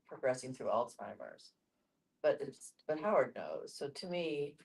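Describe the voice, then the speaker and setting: A woman's voice: a bass voice, sing-song, measured pace, gravelly. A woman explaining her view earnestly in a group discussion. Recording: distant microphone in group setting.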